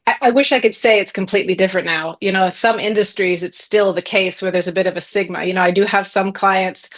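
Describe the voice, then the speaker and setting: An American woman with a Midwestern accent, talking as a guest executive coach on a podcast. She explains her ideas clearly and thoughtfully and laughs easily along the way. Her pitch sits in the middle range for a woman. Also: fairly even intonation. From Columbus, Ohio, USA. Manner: articulate, thoughtful, quick to laugh. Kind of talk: conversational podcast interview.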